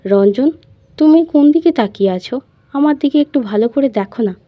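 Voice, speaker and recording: A woman's voice: high-pitched, expressive, moderate pace, no room sound, highs cut off, pitched above the performer's own voice. A woman voicing a possessive, bad-tempered rich young fiancée with a pushy manner in an audio love story. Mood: possessive, insistent. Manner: pushy, needling questions.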